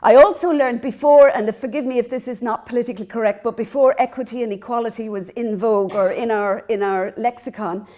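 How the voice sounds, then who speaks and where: Irish woman with a mellow voice, lecturing. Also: fairly high pitch, steady tone, a little fast, few pauses. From Ireland. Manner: warm, earnest, laughs at herself between points. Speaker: professor giving a lecture. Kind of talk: public lecture. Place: lecture hall.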